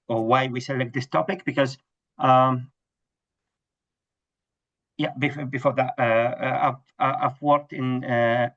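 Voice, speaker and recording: A man's voice: mid-range voice, a bit bright, lo-fi sound. A middle-aged man from Iraq, giving a webinar lecture on research, hesitant with many fillers and restarts. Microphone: video call.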